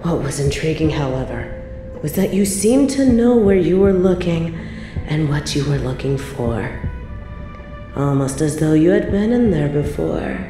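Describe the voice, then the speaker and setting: An evil medieval queen, with a very low voice and a slow, savouring delivery. She is possessive and seductively menacing, speaking in formal, theatrical phrases for an audio drama. Her voice echoes over background music. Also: expressive, warm tone. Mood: musing, suspicious. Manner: theatrical, formal, savouring, dropping into breathy whispers. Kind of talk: scripted dramatic audio roleplay monologue.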